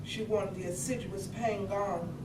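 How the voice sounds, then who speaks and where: A woman's voice: mid-range voice, steady tone, a little slow, natural voice. A woman in a staged reading playing an earthy sister who tells a bluesy, lyrical story. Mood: sorrowful. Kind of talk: staged reading of a poetic play. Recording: distant echoey room, loud background noise.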